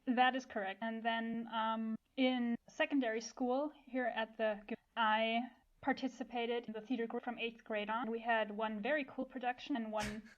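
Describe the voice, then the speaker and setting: A woman's voice: on the high side, level tone, normal speed. A young woman guest chatting casually in a self-deprecating, informal way. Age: young adult. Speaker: podcast guest, amateur theatre group member. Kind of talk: casual chat. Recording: dull lo-fi sound.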